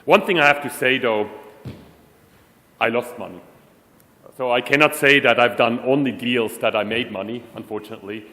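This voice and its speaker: A man's voice: medium pitch, normal speed, pauses often, bright, forceful tone. Middle-aged man speaking in an echoey hall. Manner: conversational, self-deprecating, chuckles often. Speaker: conference speaker. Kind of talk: conference talk. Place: large hall. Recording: echoey hall, faint room noise.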